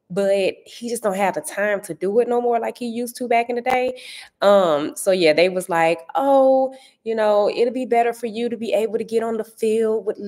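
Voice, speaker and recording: A woman in her thirties with a St. Louis accent, chatting casually to viewers from her dining room, animated and often searching for words. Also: medium pitch. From Saint Louis area. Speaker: vlogger answering viewer comments. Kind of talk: casual chat.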